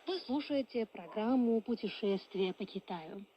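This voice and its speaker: A woman's voice: medium pitch, expressive, moderate pace, lo-fi sound. A woman presenting a scripted radio program. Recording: very noisy shortwave radio reception.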